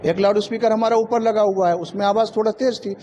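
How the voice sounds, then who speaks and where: A man's voice: high-pitched, fairly even intonation, fast, mellow, dull audio, a little hiss or hum. A man giving plain-spoken, explanatory interview answers and repeating his points. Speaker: interviewee, local resident.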